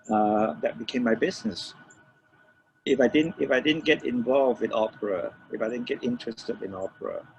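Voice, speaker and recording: An older man from Malaysia, reminiscing as an interview guest in a halting way, repeating phrases as he explains. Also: fairly low pitch, darkish tone. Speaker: interview guest, record producer. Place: room with shelves of DVDs, on a remote call. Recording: dull lo-fi call, faint noise.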